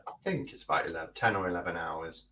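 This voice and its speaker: A man's voice: low-pitched, quick pace, sharp tone. A man speaking as a guest on a live stream, chatty and informal. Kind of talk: casual live-stream chat.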